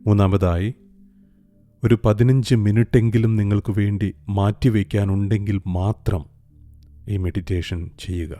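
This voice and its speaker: A man narrating a scripted guided meditation in a calm, soothing, unhurried way with long pauses. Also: lowish voice. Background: soft meditation music with singing bowls.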